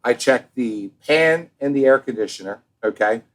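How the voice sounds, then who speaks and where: An American man co-hosting a podcast in casual, bantering talk, with an animated, showy and teasing manner. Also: medium pitch, moderate pace. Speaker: podcast co-host.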